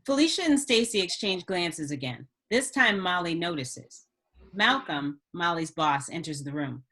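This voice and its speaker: Lower-voiced woman narrating a script. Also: expressive, a little slow, sharp tone. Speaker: stage-direction narrator.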